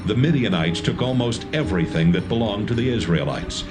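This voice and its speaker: A man narrating scripture in a steady, plain manner. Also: lowish voice, a little fast, loud music behind the voice.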